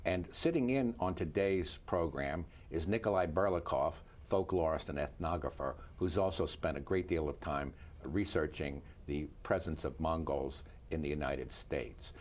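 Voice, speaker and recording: A man hosting a TV interview, asking short, measured questions and confirming answers. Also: very deep voice, a little mellow. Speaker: host.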